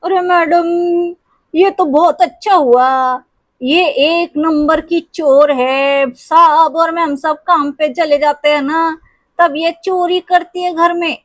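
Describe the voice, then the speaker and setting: Scheming servant man for a cartoon, voiced by a woman; high, wheedling, falsely obedient. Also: fairly even intonation, takes it slowly, no echo. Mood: sly, accusing. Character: sly, lying male cook and thief, servile.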